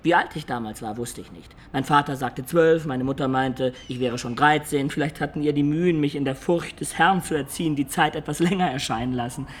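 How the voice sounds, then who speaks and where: An actor playing an imaginative peasant-born man who looks back on his life in a German radio play, in reflective, expressive storytelling. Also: a little high, a little fast, hardly any pauses, close to an everyday voice.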